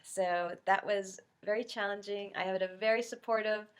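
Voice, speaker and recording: A woman's voice: mid-range voice, steady tone, normal speed, smooth. A middle-aged woman from Canada, congested with a cold, chatting casually through a Q&A for her vlog with lots of ums and you-knows. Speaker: YouTube vlogger. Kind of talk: casual Q&A chat to camera.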